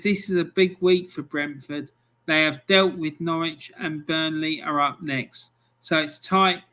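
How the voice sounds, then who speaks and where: A middle-aged man hosting a football live stream, chatting casually, reading out scores and viewer comments with plenty of ums. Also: a little high. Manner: relaxed and chatty, with many ums.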